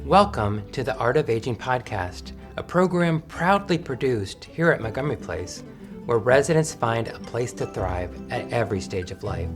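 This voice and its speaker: A man's voice: mid-range voice, expressive, moderate pace, music in the background. A man hosting a community podcast, reading a polished, upbeat introduction and then welcoming his guests. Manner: polished, upbeat announcer reading, then brief encouraging prompts. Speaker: podcast host. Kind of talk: scripted podcast intro and interview.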